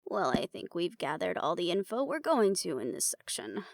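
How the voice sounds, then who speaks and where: Woman acting weary, uptight woman with creaky voice. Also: on the low side, normal speed, heavily acted. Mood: weary, dry. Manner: dry, deadpan, exasperated. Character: uptight, weary, bespectacled woman in a supernatural research team. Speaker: voice actor in an audio roleplay. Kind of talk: fantasy audio drama roleplay, conversational acting.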